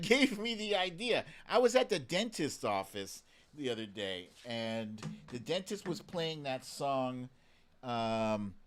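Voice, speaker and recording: A man's voice: a little high, fairly bright tone. A man hosting a casual live stream, chatting playfully with exclamations as he addresses his viewers.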